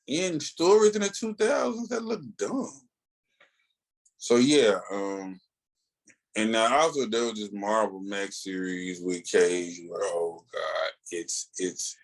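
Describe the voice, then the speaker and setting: A man with a fairly low voice talks casually as a podcast co-host. He is opinionated and emphatic, uses plenty of slang, and drifts into asides as he reviews. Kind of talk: casual, opinionated comic book review chat.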